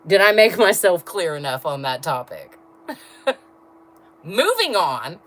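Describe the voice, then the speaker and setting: A woman with a low voice chatting with viewers on a livestream, blunt and laughing often. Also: big pitch swings, slow, fairly bright tone. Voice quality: low voice register. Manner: blunt, talks straight to chat, laughs often. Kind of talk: casual livestream chat with viewers.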